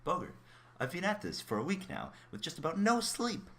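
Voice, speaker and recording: A man acting as an overworked, excitable robotics researcher in a radio play, informal and gossipy. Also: a little high, a little fast, heavily acted. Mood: agitated, chatty. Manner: animated, exclaiming, informal.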